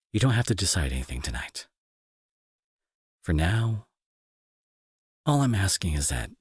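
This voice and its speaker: A remorseful young bully turned anxious caretaker, played by a man, with a low and very husky voice. He speaks softly and hesitantly, earnest and reassuring, his pitch moving expressively. It has the close, intimate feel of a roleplay audio drama. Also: dry recording, full character voice.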